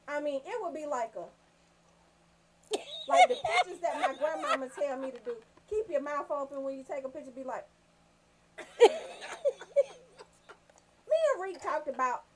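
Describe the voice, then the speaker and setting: A woman with a Southern Atlanta accent hosting comedic radio banter, telling stories in an animated, emphatic way. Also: high-pitched, slight background noise, low-quality recording. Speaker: radio show host.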